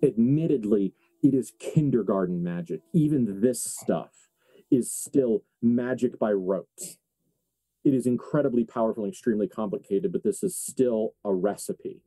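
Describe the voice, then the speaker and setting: A man acting as game master, narrating a tabletop horror roleplaying game in deliberate, halting phrases. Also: fairly low pitch, warm tone, dry recording, rough recording.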